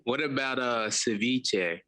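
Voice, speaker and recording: A man co-hosting a casual podcast, playful with quick reactions. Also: medium pitch, bright, forceful tone, dry recording. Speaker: podcast co-host.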